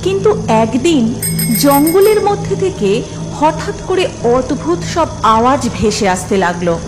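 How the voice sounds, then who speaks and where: A woman with a high, very lively voice narrates a children's tale. Her delivery is scripted and dramatic, with clear music playing behind her. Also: normal speed, low-quality recording.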